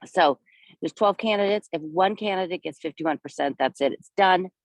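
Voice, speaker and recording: A woman with a cold-worn, hoarse voice leads a live outdoor walking tour, chatting and pointing things out as she goes. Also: lowish voice, normal speed, no room sound. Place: outdoors in a city park and streets.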